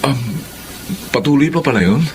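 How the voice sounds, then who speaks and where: A man's voice: medium pitch, expressive, normal speed, slight background noise, close to an everyday voice, poor audio. A man with a Filipino accent playing an earnest, hesitant, apologetic ex-boyfriend in a radio drama. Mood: hesitant, polite. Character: earnest, apologetic male lawyer, hopeful ex-boyfriend. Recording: loud music under the voice.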